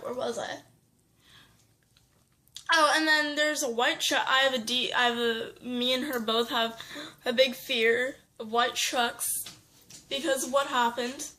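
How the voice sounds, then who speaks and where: A woman telling a story in casual chat, rambling with fillers and restarts and getting animated. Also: high-pitched, slow pace, long pauses. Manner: animated, breaks into sound effects.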